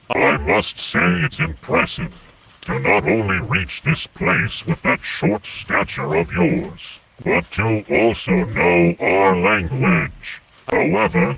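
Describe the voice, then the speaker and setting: Growling monster boss for anime, slow n threatening, super gravelly, kinda far off under music. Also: male voice, medium pitch, exaggerated voice. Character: hostile monstrous brute leader, menacing and scornful. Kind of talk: dramatic anime-style dub acting.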